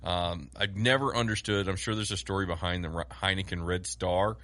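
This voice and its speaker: A man's voice: steady tone, fairly quick. A man co-hosting a casual podcast, trading playful jokes with his partner while they compare drinks. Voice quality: gravelly, bright voice, big swings in pitch. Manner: loud, playful, jokey. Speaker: podcast co-host. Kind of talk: casual chat.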